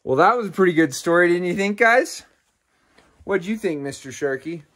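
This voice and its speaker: Middle-aged man, animated story-time host. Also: high voice, normal speed. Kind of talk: playful read-aloud for children. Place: small bedroom, indoors.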